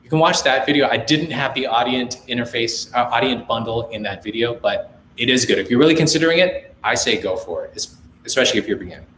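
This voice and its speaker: A man's voice: mid-range voice, fast. Host, young adult man, American accent, easygoing advice from a home studio, roomy, faint hiss. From India. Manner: relaxed, reads viewer comments aloud, then gives friendly gear advice. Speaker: livestream host, music producer. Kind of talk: casual live chat answering questions.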